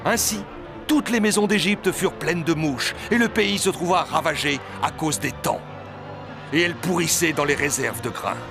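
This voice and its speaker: Storybook narrator, male, slightly high pitch, scripted delivery over clear background music. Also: moderate pace. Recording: music under the voice.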